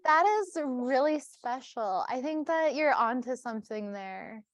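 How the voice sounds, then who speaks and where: A woman's voice: sits high, expressive, a little slow. A woman giving a casual talk to a school group as a guest artist, encouraging and often echoing back what she hears.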